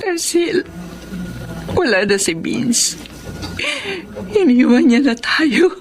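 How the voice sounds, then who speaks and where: A woman's voice: fairly high pitch, big pitch swings, takes it slowly, music in the background. A woman playing an emotional middle-aged boss and bereaved mother in a Filipino audio drama, with a Filipino accent and dramatic delivery. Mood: grieving. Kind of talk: Filipino radio drama acting.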